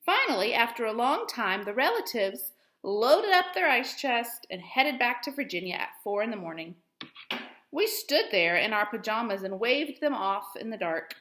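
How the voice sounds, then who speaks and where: Female schoolteacher for children's story reading: highish voice, expressive phrasing, roomy echoing sound. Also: moderate pace. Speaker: teacher reading aloud to pupils. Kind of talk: storybook read-aloud for children.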